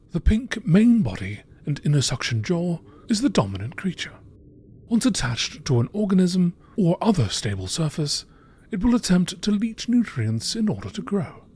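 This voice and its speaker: A man reading scripted science-fiction encyclopedia entries in a measured, formal nature documentary style. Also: high-pitched, darkish tone, slightly rough. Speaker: narrator reading game lore entries.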